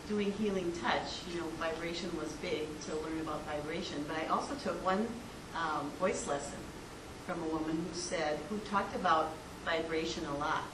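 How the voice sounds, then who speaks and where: A woman's voice: sits low, moderate pace. Woman chatting in an echoey room. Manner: chatty storytelling, laughs easily. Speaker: group discussion participant. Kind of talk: casual group discussion. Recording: echoey room from far off, noisy.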